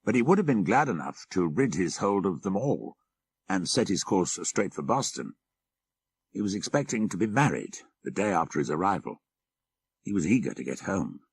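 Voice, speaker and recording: A male narrator reads a classic tale of horror in a very low voice with a husky grain. His delivery is measured and literary, swinging widely in pitch for dramatic effect. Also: big pitch swings. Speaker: audiobook narrator. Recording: 1990s audiobook cassette.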